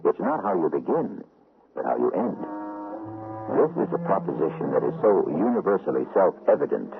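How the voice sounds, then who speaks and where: A man's voice: low-pitched, sing-song, moderate pace. A man hosting and narrating an old radio mystery show, in a theatrical, musing way. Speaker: host and narrator. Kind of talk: radio drama host narration. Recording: tinny old radio broadcast with music.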